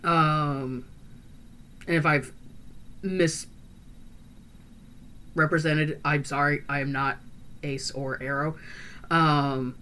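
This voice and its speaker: A woman's voice: low voice, fairly even intonation, extremely slow, pauses often, sharp tone, a little hiss or hum, full character voice. A woman playing a chatty, earnest young female vampire who talks in a rambling, hesitant way full of fillers and restarts. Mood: musing. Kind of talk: in-character roleplay monologue.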